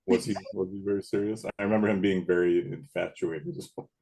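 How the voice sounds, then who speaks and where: A man with a very deep, big booming voice chats as a podcast guest. He is in his late thirties and talks in a loose, laid-back way, stopping and restarting as he remembers. He sounds relaxed and unhurried. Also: vocal fry. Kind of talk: casual chat.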